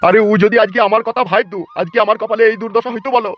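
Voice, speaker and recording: Man doing a whiny old cartoon villager whose wife left him, high strained pitch, muffled sound. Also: steady tone, very fast, natural voice. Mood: plaintive. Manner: wailing, plaintive. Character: whining, self-pitying abandoned old village husband. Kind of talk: comic village cartoon dubbing. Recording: muffled, music under the voice.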